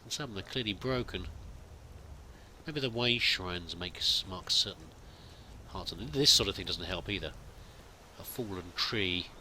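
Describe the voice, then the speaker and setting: A man's voice: deep voice, normal speed, sharp tone, slight background noise. A man hosting a roleplay let's play, chatting and musing aloud and reading in-game text as he plays.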